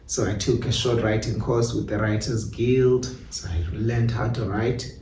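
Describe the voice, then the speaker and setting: Casting: South African male theatre-maker, soft dark tone, reflective interview delivery, roomy off-mic sound. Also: on the low side, fairly even intonation, measured pace, distant mic. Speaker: playwright and director being interviewed. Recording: echoey room, off-mic, faint noise.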